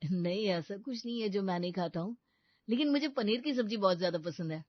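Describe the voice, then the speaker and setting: A man's voice: sits high, a little fast, no echo, close to an everyday voice. Casting: man as pliable young cartoon groom, whiny and pleading, dance-mad; dry, slightly dull recording. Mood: hesitant. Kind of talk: animated Hindi comedy story dialogue. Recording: dull, lo-fi studio voice-over.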